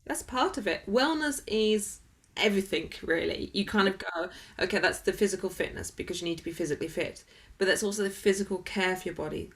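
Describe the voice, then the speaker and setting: A woman being interviewed as a wellness coach, talking conversationally in an emphatic, motivational way with everyday examples. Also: fairly low pitch.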